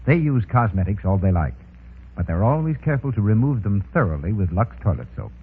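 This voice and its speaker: A man's voice: on the low side, very animated, fairly quick, slightly rough. A man reading a scripted sponsor advertisement as a 1930s radio announcer, with practiced sales patter. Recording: tinny phone-like 1930s radio recording.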